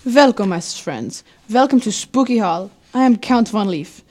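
Woman playing a courtly, mellow vampire count. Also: fairly high pitch, lively intonation, measured pace. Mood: grand welcome. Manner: grandly formal, welcoming. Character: courtly, sinister male vampire count, genial host.

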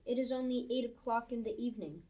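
A woman's voice: on the high side, quick pace, mellow, lo-fi sound, close to an everyday voice. A woman playing a wary, sarcastic young boy who questions everything. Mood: alarmed.

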